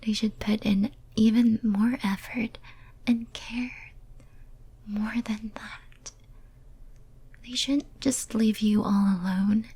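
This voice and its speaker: A woman playing a lonely, obsessive female demon in a whispered ASMR roleplay, speaking softly and breathily in a tender, intimate, hesitant way. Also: fairly high pitch, steady tone, takes it slowly, pauses often, husky, heavily acted. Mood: concerned, tender.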